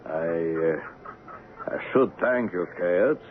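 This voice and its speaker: Slow, sardonic outpost assistant, muffled radio. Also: male voice, low-pitched. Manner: sarcastic, needling. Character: insolent, cynical male assistant at jungle trading post. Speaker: radio drama actor. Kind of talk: 1970s radio drama acting. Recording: muffled, noisy old radio broadcast.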